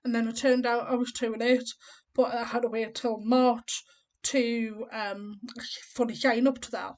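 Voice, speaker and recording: A woman's voice: a little high, level tone, measured pace, darkish tone. A woman in her thirties who is deaf and whose speech sounds a bit different, explaining things step by step in a chatty, rambling way with frequent ums. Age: 30s. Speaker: vlogger. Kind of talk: casual explanatory vlog.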